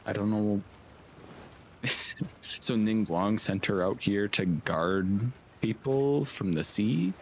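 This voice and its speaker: A man's voice: on the low side, slow pace, slight background noise, low-quality recording. A man streaming a game, giving casual, offhand commentary in brief reactions. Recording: game music behind the voice. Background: game music.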